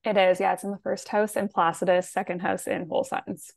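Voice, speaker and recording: A woman hosting an astrology podcast, telling stories in an animated, emphatic way with casual "like" fillers. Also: mid-range voice, fairly quick, vocal fry, dry recording. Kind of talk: casual conversational chat.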